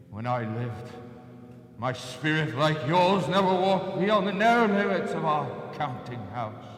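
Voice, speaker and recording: A man's voice: on the high side, a bit bright. An actor playing the remorseful ghost of a dead businessman in a stage radio play, solemn and theatrical, drawing out his words in a reverberant hall. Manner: solemn, theatrical, drawn-out declamation. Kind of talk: live radio play acting. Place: theatre stage, live performance at featured mics.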